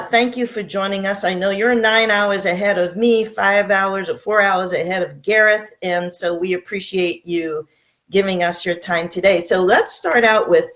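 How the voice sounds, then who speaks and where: A woman's voice: mid-range voice, a bit bright. A woman hosting an interview show, upbeat and encouraging as she asks her guest questions. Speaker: show host.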